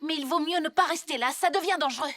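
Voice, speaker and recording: A woman's voice: sits high, fast, close to an everyday voice. A woman voicing a cautious, practical six-year-old superhero boy in a children's cartoon. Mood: concerned. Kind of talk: animated children's series dubbing. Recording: loud music under the voice.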